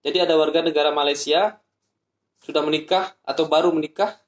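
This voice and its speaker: A man from Indonesia explaining an application process step by step in a vlog, spelling out addresses slowly. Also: fairly high pitch, steady tone, reverberant. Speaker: vlogger.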